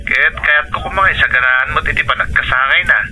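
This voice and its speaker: Bright, piercing Ilocano brother. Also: male voice, a little high, fairly quick, rough recording. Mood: coaxing. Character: needy older brother coaxing sister for money. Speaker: radio drama actor. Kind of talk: Ilocano radio drama acting.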